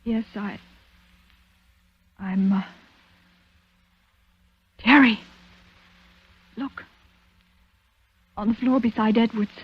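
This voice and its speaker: A woman's voice: fairly high pitch, extremely slow, long pauses, husky, no room sound, heavily acted. An actress playing a frightened young woman in a breathless, pleading manner in a 1940s radio thriller. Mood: shaken relief. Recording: muffled old radio broadcast recording.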